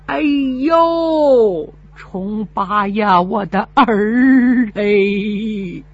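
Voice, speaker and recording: A woman voicing a gravely ill old mother, speaking weakly and tearfully in a spoken story. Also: mid-range voice, very slow, lo-fi sound. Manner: weak, pleading, tearful.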